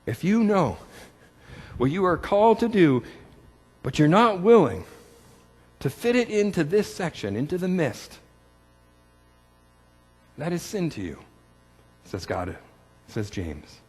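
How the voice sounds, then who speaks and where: Pastor with a lively storytelling sermon voice. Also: male voice, high-pitched, slow, long pauses, breathy edge, in a large hall. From Canada. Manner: storytelling with self-deprecating humor, repeats words.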